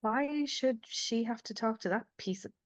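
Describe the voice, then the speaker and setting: A woman's voice: a little high, moderate pace, lo-fi sound, lightly acted. A woman playing an outspoken, sardonic office worker in a screenplay table read.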